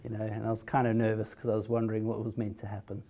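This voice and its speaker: A man's voice: fairly low pitch, completely flat delivery, very fast, dark tone, a little hiss or hum. A middle-aged South African man with a South African accent, giving a hesitant conference talk as a patient, full of fillers and restarts, with a wry humor. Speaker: patient speaker.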